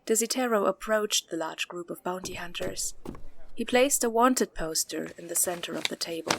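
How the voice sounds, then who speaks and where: A woman's voice: on the low side, normal speed, gravelly, noisy background. A woman reading scripted story narration for a fantasy audio drama.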